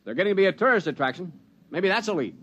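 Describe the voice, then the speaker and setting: An American actor playing a brash, wisecracking mountain local in a vintage radio drama, emphatic and folksy. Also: male voice, high voice, sing-song, racing, a bit bright, highs cut off, a little hiss or hum. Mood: wry, assertive. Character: brash, wisecracking male mountain local who loves his country. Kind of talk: old-time radio drama acting.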